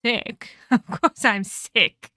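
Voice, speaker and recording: A woman's voice: on the high side, very slow, sharp tone, no room sound, studio quality, exaggerated voice. A woman playing a sadistic, scheming, centuries-old female vampire in an ASMR roleplay, haughty and taunting, with formal, scolding phrasing. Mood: gleeful taunting.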